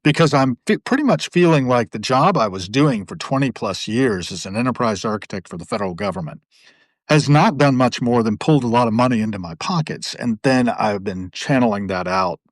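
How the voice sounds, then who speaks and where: A man's voice: mid-range voice, expressive, a little fast, no room sound. A middle-aged man hosting a casual live video chat, talking in long, rambling, digressive stretches. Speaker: host. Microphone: video call.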